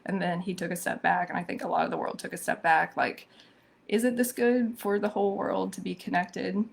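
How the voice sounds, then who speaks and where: A hoarse woman hosting a live-streamed social media commentary, thoughtful and full of ums and restarts. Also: medium pitch, fairly even intonation, a little fast. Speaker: social media show host.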